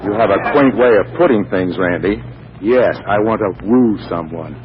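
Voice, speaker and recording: A formal, earnest businessman acted by a man speaks with awkward propriety and a very mobile pitch. It is old radio comedy acting. The sound is dull and slightly noisy. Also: expressive, normal speed, natural voice. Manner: formal, hesitant, proper. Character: stuffy, earnest businessman, awkward about romance.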